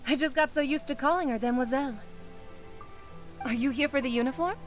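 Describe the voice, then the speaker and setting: A woman acts a polite young female shopkeeper from a video game. She is high-pitched and flattering in an effusive way, with faint music behind her. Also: brisk, lightly acted. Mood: courteous. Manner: courteous, flattering.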